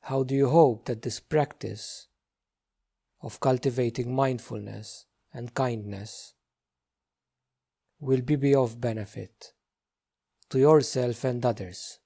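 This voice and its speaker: A man leading a guided meditation as a teacher, speaking gently in short, unhurried phrases with many pauses. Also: medium pitch, vocal fry, breathy edge.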